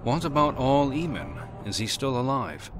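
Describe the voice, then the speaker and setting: A man's voice: mid-range voice, sing-song, normal speed, close to an everyday voice. A man playing a blunt fantasy game hero who asks questions in a direct, inquiring manner. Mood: questioning. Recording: music behind the voice.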